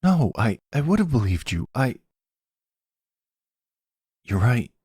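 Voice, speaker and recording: A man puts on a young guy, the troubled son in a roleplay drama. The voice sits higher, with a slightly rough grain and lively pitch. He talks in an earnest, casual, youthful way. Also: slow, dry recording, clean studio sound, full character voice. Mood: shaken, remorseful.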